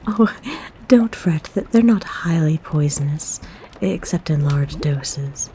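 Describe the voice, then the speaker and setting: A woman playing a kind, flirtatious forest witch in a soft, breathy, gently coaxing ASMR roleplay. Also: low-pitched, lively intonation, slow pace. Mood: reassuring.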